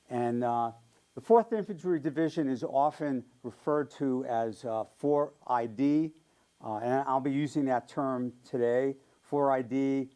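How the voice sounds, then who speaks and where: A man's voice: medium pitch, mellow. A man in his seventies with a New York accent, giving a friendly, chatty talk as a guest speaker. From New York area. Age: 70s. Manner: friendly, chatty, frequent ums and light laughs. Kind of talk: casual public talk.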